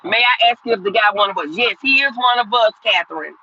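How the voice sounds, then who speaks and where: A woman's voice: mid-range voice, animated, brisk, bright, forceful tone, muffled recording, slight background noise. A woman in her fifties hosting a livestream, chatting casually with viewers and answering their comments in a rambling, repetitive way. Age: 50s. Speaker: livestream host.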